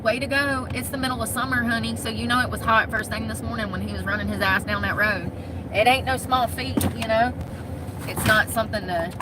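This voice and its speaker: A woman's voice: a little high, fairly even intonation, a little fast. Chatty woman vlogging over street noise. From Michigan. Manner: chatty, candid, drawling and wisecracking. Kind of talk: casual talking to camera. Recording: noisy outdoor street sound.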